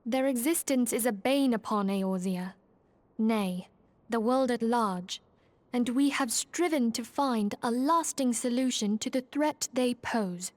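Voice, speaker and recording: A woman playing a poised, gracious leader of a secret order in a fantasy game, speaking in calm, formal, measured phrases. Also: a little high, no echo, close to an everyday voice. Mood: solemn, explaining. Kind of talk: scripted fantasy game acting.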